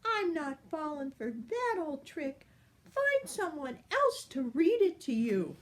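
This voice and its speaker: A grandmother voicing a tiny, naughty female fairy in a squeaky, sassy voice while reading a storybook aloud. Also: big pitch swings, slow, exaggerated voice.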